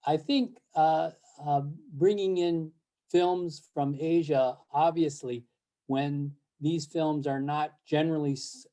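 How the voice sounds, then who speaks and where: An older man with a dark, mellow tone speaks on a video call. He talks slowly and haltingly, filling pauses with "uh" and "um" and restarting his sentences. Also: mid-range voice. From born in Japan, raised in the United States. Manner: halting, many fillers and restarts. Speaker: interview guest, film series board president. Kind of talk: casual interview over video call.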